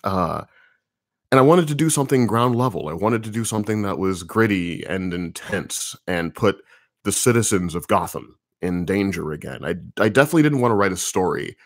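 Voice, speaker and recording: Deep-voiced man rambling casually. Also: brisk, no echo, clean studio sound. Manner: relaxed, rambling, thinking aloud with many fillers. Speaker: comics writer answering viewer questions. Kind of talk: casual livestream Q&A.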